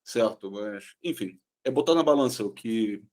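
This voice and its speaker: A man's voice: fairly low pitch, normal speed, darkish tone. A Brazilian man with a Brazilian Portuguese accent, co-hosting a podcast in casual chat. He is earnest and often closes a point with a checking question. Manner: earnest, ends points with "certo?".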